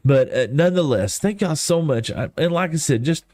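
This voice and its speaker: A man's voice: fairly high pitch, animated, brisk, no echo. A man from East Texas with a Texan accent, chatting casually and rambling in a folksy, self-deprecating way while livestreaming a game. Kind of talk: casual gaming stream chat. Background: soft lofi music.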